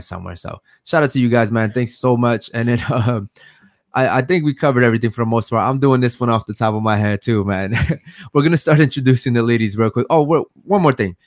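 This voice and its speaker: A man's voice: medium pitch, a little fast, no room sound, studio quality. A man co-hosting a podcast, talking casually and laughing, calling the audience "man" and "bro". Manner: laid-back, says "man" and "bro" a lot, laughs often. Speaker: podcast co-host. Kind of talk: casual chat.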